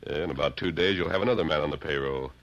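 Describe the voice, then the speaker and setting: Calm amused cowboy hero in old radio show, really deep voice, measured, muffled noisy recording. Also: male voice. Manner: measured, articulate, storytelling. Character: calm, wryly amused western hero telling a tale. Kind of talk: old-time radio western acting.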